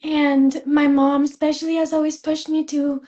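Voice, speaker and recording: A young woman from Spain, a fashion student, chatting in an interview, soft-spoken with many fillers and restarts. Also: sits high, level tone, normal speed, muffled recording. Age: young adult. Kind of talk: casual interview chat.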